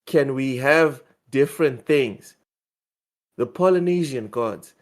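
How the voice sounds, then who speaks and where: A man co-hosting a casual gaming news show, talking excitedly and often repeating words as he thinks. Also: medium pitch, slow. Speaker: podcast co-host.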